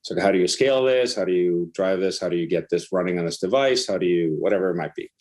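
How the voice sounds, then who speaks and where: A man's voice: deep voice, fast talker, a little mellow. A middle-aged man from Iceland talking casually as a startup founder and interview guest.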